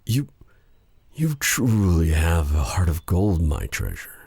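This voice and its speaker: Male actor as brooding fantasy sorcerer, husky breathy voice, slow formal halting delivery, bitter yet tender, intimate ASMR drama, very dry close sound. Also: low voice, wildly swooping pitch, darkish tone, no echo, exaggerated voice. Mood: tender, hesitant. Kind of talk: dramatic ASMR roleplay addressed to the listener.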